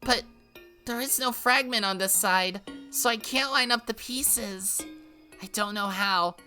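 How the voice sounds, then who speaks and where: A woman putting on a soft girly goddess voice, pleading, kinda bright, faint music behind. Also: medium pitch, voice pushed up. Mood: anxious. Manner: soft, pleading, drawn-out calls. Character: gentle anxious girlish deity, distant and pleading.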